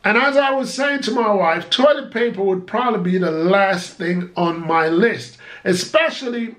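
A Jamaican man in his forties, a vlogger chatting casually to camera from a small home office, animated and gesturing as he talks. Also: sits high. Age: 40s.

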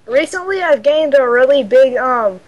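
A goofy young woman is joking through a multiplayer game with friends. Her voice is high and very lively, leaping up and down in pitch. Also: moderate pace, muffled recording. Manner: goofy, silly outbursts. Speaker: gaming friend. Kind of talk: casual multiplayer gaming chat.

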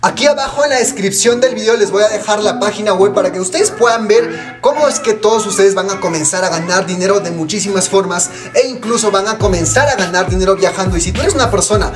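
A young man giving a promotional pitch straight to camera as a channel host. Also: fast, distant mic. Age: young adult. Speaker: YouTube channel host and recruiter. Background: hip hop music.

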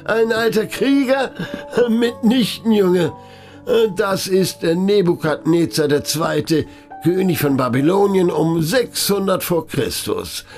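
A man's voice: high voice, a little mellow, faint music behind. A man acting a wealthy, genteel and effusive art collector in a German audio play, pompous and hesitant in his delivery. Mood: reverent, boastful. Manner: pompous, hesitating, drawn-out exclamations and chuckles.

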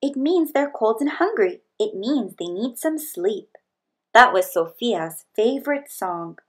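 Higher-voiced woman reading a children's storybook. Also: expressive, a little slow. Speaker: storybook reader. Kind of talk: scripted children's read-aloud narration.

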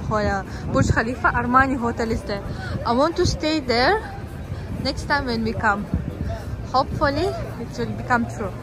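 A young woman vlogging on her phone through a busy mall and aquarium, talking excitedly to viewers and her small son. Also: high-pitched. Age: young adult. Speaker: family vlogger. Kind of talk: casual vlog narration. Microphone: phone at arm's length. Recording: loud crowd and street noise.

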